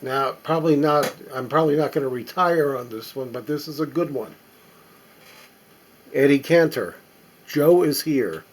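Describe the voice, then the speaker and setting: A mature man talks casually through items in his collection, unscripted. His mid-pitched voice is a little husky and unclean in tone. He muses aloud in a halting way, as if inspecting each thing while he speaks. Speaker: record collector presenting finds. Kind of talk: casual unscripted show-and-tell commentary.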